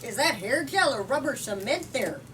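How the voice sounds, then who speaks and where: A woman's voice: a little high, big pitch swings, normal speed, pitched above the performer's own voice. Joking, kindly young father voiced by a female reader, emphatic storybook delivery, noisy room. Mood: teasing. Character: young father, mid-thirties, joking, kindly farm dad. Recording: distant mic, noisy room ambience.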